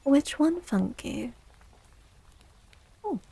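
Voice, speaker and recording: A woman hosts an ASMR tarot stream in a soft, near-whispered voice. Her delivery is slow and gentle, with a dark, relaxed tone and plenty of creak at the edges. She sounds reassuring and speaks close to the microphone. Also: high-pitched. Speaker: livestream host and tarot reader.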